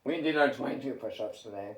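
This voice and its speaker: A man from San Diego with a rough, worn voice, rambling through a half-sung freestyle and chatty, swearing talk at home. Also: mid-range voice, fast, reverberant, rough recording. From San Diego, California. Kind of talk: improvised singing and casual vlog talk.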